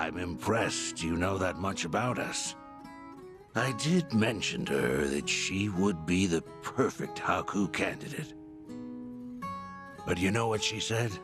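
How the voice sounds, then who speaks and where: A man playing a serene, fatherly religious leader in a video game cutscene, speaking gently and formally. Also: low voice. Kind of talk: dramatic game cutscene acting.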